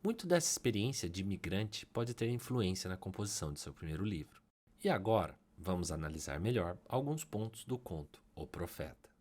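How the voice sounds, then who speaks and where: A man with a Brazilian Portuguese accent reads a literary essay aloud in a low voice. His pitch moves a great deal, lifting on key terms and then dropping back. The delivery is measured and academic. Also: sing-song, darkish tone. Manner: measured, academic reading with rising emphases. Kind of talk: scripted literary analysis narration.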